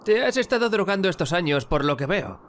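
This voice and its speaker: A man's voice: high voice, big pitch swings, brisk, heavy background noise. A man voicing a young, sarcastic and bewildered martial artist in a cartoon fandub. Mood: sardonic, annoyed. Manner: sarcastic, incredulous. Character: miserable, sardonic young male martial artist, bewildered loser. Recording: music behind the voice.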